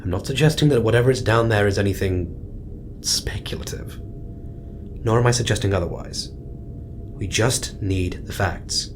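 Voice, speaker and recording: A man playing a stressed astronaut commander in a sci-fi audio drama, steadying the crew in measured, hesitant speech. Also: natural voice. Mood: calming, uneasy. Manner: measured, hesitant, reassuring with clipped orders. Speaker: mission commander giving orders. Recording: noisy, rumbling background.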